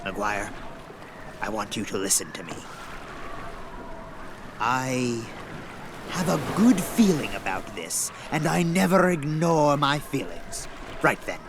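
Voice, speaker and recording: A male necromancer in a fantasy radio play, pompous and sure of himself. He speaks slowly at a middling pitch, with clear background noise. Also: big pitch swings. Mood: earnest, self-assured. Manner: pompous, deliberate. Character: self-styled nice male necromancer, vain, overconfident villain. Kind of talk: fantasy audio drama acting.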